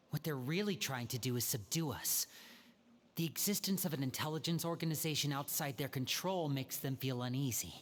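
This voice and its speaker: A composed young man, played by a man, who explains things in a level, measured way as he leads his siblings. His voice sits at a middling pitch, with a faint hiss of background noise. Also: natural voice. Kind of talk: video game character dialogue.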